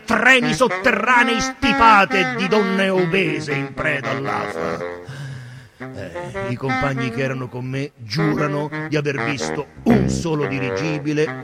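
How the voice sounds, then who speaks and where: An actor playing a reflective Venetian merchant traveller, reciting theatrically with emphatic, incantatory phrasing and dramatic pauses. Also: male voice, on the high side, close to an everyday voice. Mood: reflective, hushed. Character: Venetian merchant traveller, reflective, vivid storyteller of imagined cities. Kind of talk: theatrical literary recital over live music. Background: live saxophone music.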